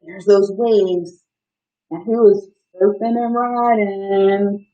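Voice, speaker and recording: A woman in her thirties, an educator reading to children in an animated, questioning way and explaining as she goes. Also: mid-range voice, slow pace, highs cut off. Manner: animated, asks the listeners simple questions. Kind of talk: interactive children's story time, reading and explaining.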